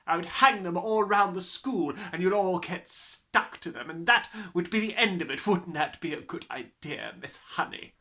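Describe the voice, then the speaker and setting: A reader voicing a huge, bullying female headmistress with a booming, contemptuous delivery, pitched well above the reader's own voice. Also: lively intonation, moderate pace, hardly any pauses, pushed and bright. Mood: scornful.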